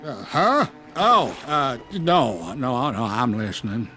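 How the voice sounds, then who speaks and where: A man's voice: medium pitch, big pitch swings, takes it slowly, sharp tone, full character voice. A distracted saloon listener. His mid-range voice rises and falls a lot, over faint music. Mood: distracted, questioning. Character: distracted male saloon listener, hesitant and questioning. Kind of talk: video game dialogue.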